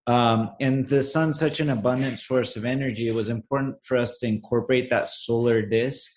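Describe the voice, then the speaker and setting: A man's voice: mid-range voice, fairly even intonation, normal speed, slight background noise. A man from Los Angeles talking in an earnest, reflective way about nature and spirituality in a casual conversation. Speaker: guest, brand co-founder.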